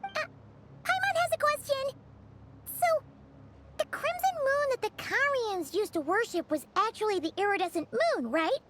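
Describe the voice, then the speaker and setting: A little floating fairy girl for a video game. Her childlike, squeaky voice sits extremely high and bounces around in pitch. She is curious and exclamatory, captured with some noise behind her. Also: animated, moderate pace, fairly bright tone. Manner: exclamatory, refers to herself by name.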